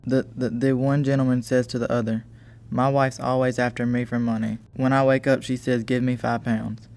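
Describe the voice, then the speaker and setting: A teenage boy plays a flustered master of the house in a school radio play. He is a chatty, joke-loving gentleman who stammers as he tries to please. His voice is heavy with fry and moves over a fairly flat range. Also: mid-range voice, moderate pace, natural voice. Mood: chatty, amused. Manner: stammering, talkative, eager to please.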